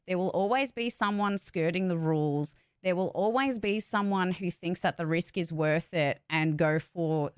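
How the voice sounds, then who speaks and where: A woman's voice: on the low side, dry recording, clean studio sound. A woman talking casually and candidly to her viewers, giving opinions emphatically and with some swearing. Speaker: vlogger, actor and voice-over artist.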